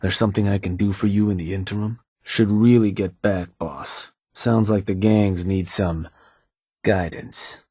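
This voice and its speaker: A scheming raider advisor from a video game, middle-aged and unhurried. His voice sits low and a little husky, and it comes across dry and close. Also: fairly even intonation, warm tone, no echo. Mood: calm. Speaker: advisor to the player's raider gang.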